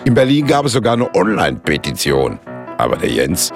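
Radio play narrator: chummy storyteller, extremely low voice, lively swings, music underneath. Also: male voice, a little fast, full character voice. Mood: chummy, confiding. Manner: colloquial, confiding. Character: folksy, chummy male storyteller addressing his pals.

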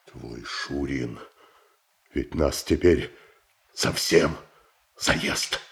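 A man's voice: extremely low pitch, very slow, vocal fry, fairly bright tone, heavily acted. An actor playing an earnest commoner beseeching his tsar, pleading and emphatic in a classical radio tragedy. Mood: hushed pleading. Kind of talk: declamatory classical tragedy acting.

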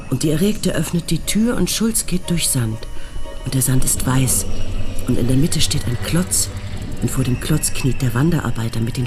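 An actor playing the narrator of a dark, surreal radio drama, with literary, incantatory, repetitive phrasing. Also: male voice, medium pitch, close to an everyday voice.

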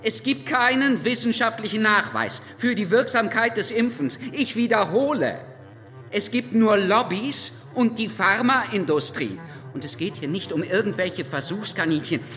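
Strident, alarmist male doctor: high, sharp voice, pompous declamation in a reverberant hall. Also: animated, normal speed. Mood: indignant, alarmist. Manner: emphatic, pompous, admonishing. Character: strident male doctor and parents' spokesman, self-righteous alarmist. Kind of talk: declamatory stage speech. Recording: reverberant hall, faint music behind.

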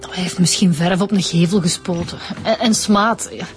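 A woman's voice: fairly low pitch, normal speed, soft background music, close to an everyday voice. A woman acting as a polite, earnest and slightly hesitant police inspector in a naturalistic radio drama. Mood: earnest, concerned. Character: conscientious, worried female police inspector, polite and persistent. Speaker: actor in a radio serial.

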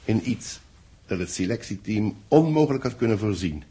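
An actor playing a grave, authoritative boss with a husky voice and solemn dramatic phrasing, in 1960s radio drama style. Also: male voice, lowish voice, lively intonation, moderate pace, mellow. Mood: troubled, grave.

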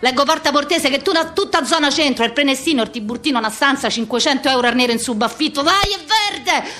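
A woman's voice: high-pitched, animated, fast talker, sharp tone. A woman performing a theatrical slang monologue as a harried, foul-mouthed office worker around fifty, with a Roman accent and a coarse, rhythmic rant. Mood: bitter, exasperated.

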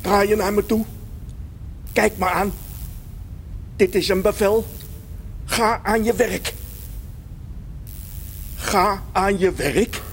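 A down-to-earth space engineer, played by a man in a science fiction radio play. He speaks slowly in a fairly high, colloquial voice, with faint noise in the background. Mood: angry, commanding. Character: down-to-earth male space engineer, practical, easily incredulous.

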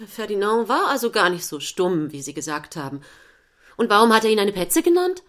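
A woman's voice: medium pitch, sing-song, brisk, fairly bright tone. A woman playing an earnest, cultured middle-class mother in a stage comedy, precise and insistent in her speech. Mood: indignant, corrective. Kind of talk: stage comedy dialogue in German audio drama.

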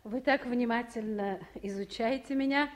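An actress playing a proud, weary middle-aged town official with gentle irony, in an old Soviet radio play, speaking in measured, pointed phrases. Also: female voice, on the high side, close to an everyday voice. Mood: wry. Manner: measured, pointed, with meaningful pauses. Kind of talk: mid-century Soviet radio play acting.

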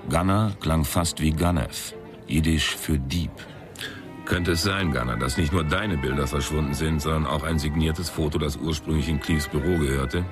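An actor playing a wisecracking, laconic private sleuth in a radio crime drama, deadpan and sardonic. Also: male voice, extremely low pitch, moderate pace. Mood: dry, sardonic. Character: wisecracking deadpan New York private sleuth, self-styled tough guy. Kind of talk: radio crime drama, first-person noir narration and dialogue. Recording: music under the voice.

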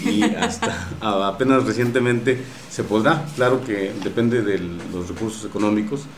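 A man with a Mexican accent explaining things in an interview, pausing often to search for words. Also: on the low side, a little mellow. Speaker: radio drama producer at a university station. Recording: roomy echo with faint background noise.

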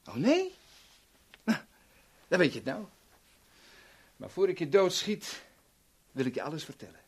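Sadistic cocky crook, husky, wildly varied intonation, gloating, vintage radio drama. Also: male voice, fairly high pitch, wildly swooping pitch, heavily acted. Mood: gloating, taunting. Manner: taunting, theatrical, drawn-out phrasing. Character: cocky, sadistic male villain, insolent and gloating. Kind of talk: 1970s Dutch radio drama acting.

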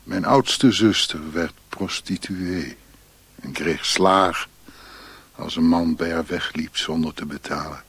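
A man playing a weary failed Chinese scholar who recounts his life in a radio drama monologue, measured and husky. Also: low-pitched, dry recording, full character voice.

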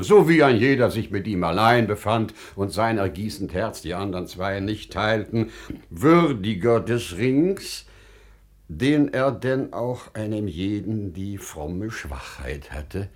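Wise, humble parable-teller for classical radio drama: unhurried, deliberate delivery with widely swinging intonation. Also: male voice, lowish voice, slightly rough, heavily acted. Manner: measured, deliberate, dramatic phrasing with weighty pauses. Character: wise, humble storyteller addressing a sultan with a parable. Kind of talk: classical German stage-style radio play acting.

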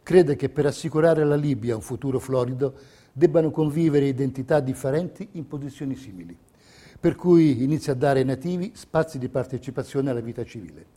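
A man delivering scripted theatrical narration in measured, deliberate phrases. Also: mid-range voice, warm tone. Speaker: stage narrator.

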